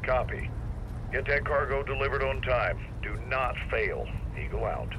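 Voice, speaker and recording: A man's voice: mid-range voice, lively intonation, a little slow. A man playing a hard, commanding general giving curt orders in a military game. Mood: stern, angry. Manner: curt, authoritative. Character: hard, commanding male general directing troops over radio. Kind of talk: cinematic military game acting. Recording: loud noise, battle gunfire, radio traffic.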